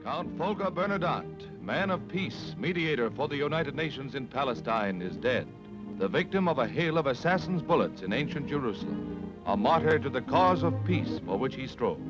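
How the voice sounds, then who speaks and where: A man's voice: mid-range voice, sing-song, normal speed. A man with an American accent narrating a 1940s newsreel in a dramatic, declamatory scripted style. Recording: dull lo-fi 1940s newsreel soundtrack. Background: newsreel music.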